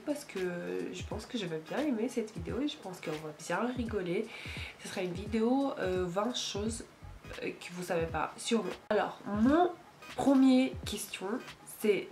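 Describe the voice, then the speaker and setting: A woman's voice: medium pitch, darkish tone. A woman in her late twenties from Ukraine, speaking French with a Ukrainian accent, chatting casually to camera with many fillers and small slips. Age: late 20s. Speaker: YouTuber. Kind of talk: casual Q&A vlog.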